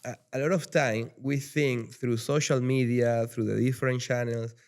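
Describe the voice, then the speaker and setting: Venezuelan man with a smooth voice. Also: mid-range voice, normal speed. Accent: Venezuelan Spanish. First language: Spanish. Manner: animated, restarts and repeats words, tags sentences with "though". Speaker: guest, rum brand ambassador. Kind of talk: casual podcast interview. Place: lives in Miami.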